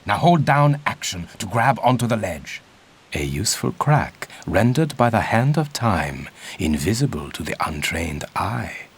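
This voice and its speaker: An actor playing a stern, condescending older archaeologist in a video game, giving formal, pompous instruction. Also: male voice, fairly low pitch, sing-song, a little slow. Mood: instructive. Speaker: mentor and guide. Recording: long cavernous reverb.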